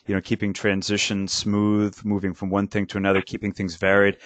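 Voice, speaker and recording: Teacher guy on a video panel, low voice, stop-start with lots of ums, dry sound. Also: completely flat delivery, no room sound. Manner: halting, many restarts and fillers. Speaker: language teacher, panel co-host. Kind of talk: casual panel discussion.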